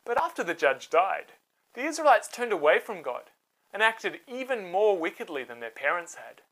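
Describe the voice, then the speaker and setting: Casting: female children's storyteller, mid-pitched, highly animated, dramatic scripted Bible narration. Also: moderate pace, low-quality recording. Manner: dramatic, expressive.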